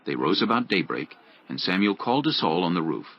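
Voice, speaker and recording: Deep raspy guy narrating bible story, real expressive, slightly muffled with music under it. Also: brisk. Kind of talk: scripted dramatic narration. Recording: dull lo-fi audio with music. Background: background music.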